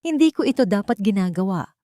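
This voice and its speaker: A woman's voice: medium pitch, expressive, a little fast, mellow, no room sound, studio quality, voice pushed up, close to an everyday voice. A woman playing an earnest, sympathetic male family lawyer in a story, grave and explanatory. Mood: reluctant.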